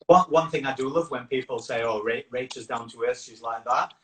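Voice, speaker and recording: A man with a northern English accent softened by years in Australia, hosting a casual, chatty live conversation. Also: mid-range voice, brisk. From Yorkshire, northern England. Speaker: campaign founder hosting a live chat. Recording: echoey room with clear background noise.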